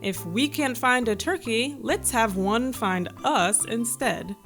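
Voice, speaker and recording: A woman's voice: fairly high pitch, animated, takes it slowly, fairly bright tone, faint music behind, heavily acted. A woman voicing a keen, scheming townsman in a children's storybook, declaiming his sly plans emphatically. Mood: scheming, eager.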